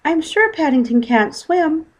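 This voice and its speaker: A woman's voice: high-pitched, lively intonation, a little slow, pitched above the performer's own voice. A woman voicing a concerned, eager young girl in a storybook, urgent and caring.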